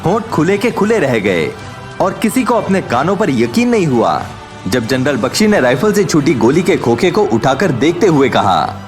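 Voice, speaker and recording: A man narrates a scripted audio drama with suspense and emphasis. His voice is fairly high and swings widely in pitch. Soft music runs underneath. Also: very animated, moderate pace. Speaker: story narrator.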